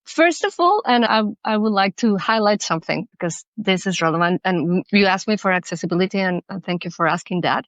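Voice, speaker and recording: Woman, English speaker, earnest, mid-pitched voice, dry close sound. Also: animated, brisk, few pauses, fairly bright tone, no room sound. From Mexico. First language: Spanish. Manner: earnest, thoughtful, with many restarts. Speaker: guest, accessibility consultant. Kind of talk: podcast interview.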